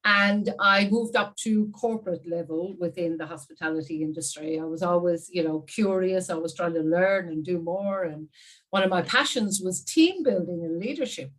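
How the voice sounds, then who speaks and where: A woman in her fifties with an Irish accent, telling her story as an interview guest in a chatty, animated way with frequent laughs. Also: lowish voice, moderate pace, low-quality recording. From Ireland. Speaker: guest marketing strategist. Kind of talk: casual interview talk.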